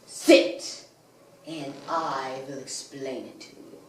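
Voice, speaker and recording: A young girl playing a bitter, grandiose male villain in a theatrical stage monologue, with dramatic pauses and swelling outbursts. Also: a bass voice, steady tone, pitched below the performer's own voice. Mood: brooding storytelling. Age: child or young teenager, 13 or under. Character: melodramatic male soap-opera villain, bitter and grandiose crime lord. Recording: distant, echoey room with background noise.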